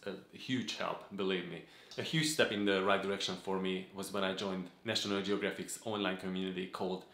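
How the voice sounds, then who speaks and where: A man, earnestly introducing his photography channel from a home office, with frequent filler pauses. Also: low-pitched, fairly bright tone, echoey room. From Hungary. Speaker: photography vlogger. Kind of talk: talking-head channel introduction. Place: home office room with desk and gaming chair.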